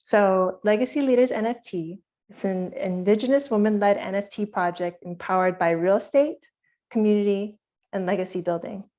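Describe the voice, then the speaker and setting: A woman's voice: mid-range voice, steady tone, moderate pace, mellow. A woman from Hawaii presenting her project to an online community meeting, speaking earnestly and directly to her listeners.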